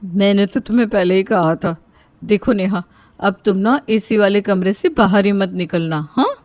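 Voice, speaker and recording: A woman's voice: medium pitch, moderate pace, darkish tone, close to an everyday voice. A woman voicing a bossy, worried middle-aged mother who scolds and pleads in a cartoon story. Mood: scolding.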